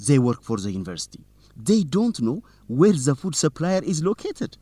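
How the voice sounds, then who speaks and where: A man's voice: fairly high pitch, very animated, normal speed, warm tone, husky, no room sound. An actor playing a determined, teasing young male student reporter in an educational radio drama, in plain, simple sentences. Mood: determined, suspicious.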